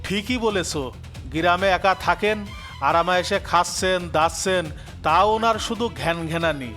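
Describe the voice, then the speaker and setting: A man's voice: sits high, moderate pace, sharp tone, faint music behind. A man voicing a callous, busy grown son in a cartoon drama, curt and exasperated. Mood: irritated. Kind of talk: melodramatic cartoon voice acting.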